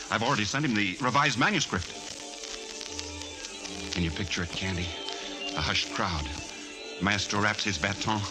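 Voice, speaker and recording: An actor as a low-voiced, grandiose, unstable composer. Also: male voice, lightly acted, low-quality recording. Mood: effusive. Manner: theatrical, rhapsodic, dramatic pauses. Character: grandiose, unstable male composer, feverish and doomed. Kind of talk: 1940s radio drama acting. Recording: old 1940s radio recording, music behind.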